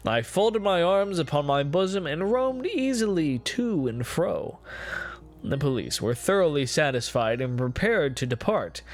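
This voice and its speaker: A man playing an unhinged, gloating murderer confessing his crime in a theatrical, deliberate gothic horror reading. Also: medium pitch. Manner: theatrical, ornate, deliberate phrasing with swelling emphasis.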